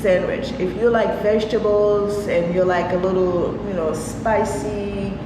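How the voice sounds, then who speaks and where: A woman's voice: medium pitch, steady tone, a little slow, background noise. A middle-aged woman from Haiti, a cafe owner, telling her story in a casual interview with many fillers. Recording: very echoey room with loud ambience.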